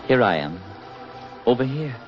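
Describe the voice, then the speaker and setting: An actor playing an affable, lovesick young American soldier in 1940s radio style, folksy and ardent. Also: male voice, medium pitch, very animated, a little slow. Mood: eager, joyful. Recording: dull 1940s radio recording, music behind.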